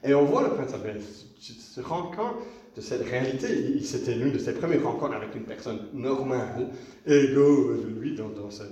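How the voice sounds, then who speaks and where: A man giving a relaxed, chatty sermon, telling a story to the congregation in a church. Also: mid-range voice, moderate pace, dull audio. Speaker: preacher. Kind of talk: conversational sermon, retelling a film. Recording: echoey church from a distance.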